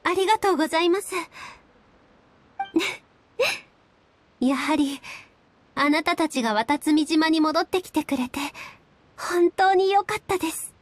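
A woman voicing a gentle, weary young priestess and strategist in a video game, speaking softly with polite, formal phrasing. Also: very high-pitched, slow, long pauses. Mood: grateful. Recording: background music under voice.

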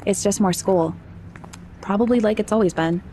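A woman's voice: medium pitch, moderate pace, dark tone, slight background noise, natural voice. A young woman playing a sheltered rich girl. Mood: wondering. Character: sheltered rich teenage girl, shy and curious. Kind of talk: audio drama acting.

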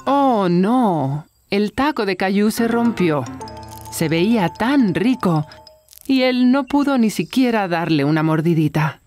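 Narradora de cuentos infantiles, muy expresiva. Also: female voice, medium pitch, animated, slow, darkish tone, faint music behind. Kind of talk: scripted children's storytelling narration.

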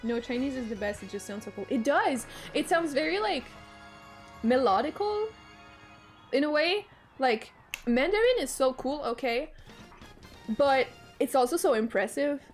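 Young french canadian girl streaming, bit high voice, swears a lot, faint game music behind. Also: measured pace, pauses often. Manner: excitable, swears freely, hums to herself, bursts into exclamations. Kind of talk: casual live-stream chat while gaming.

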